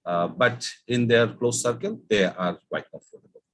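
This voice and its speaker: A man's voice: mid-range voice, fairly bright tone. Hesitant man presenting research. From Bangladesh. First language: Bangla. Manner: hesitant, frequent filler pauses. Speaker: conference presenter.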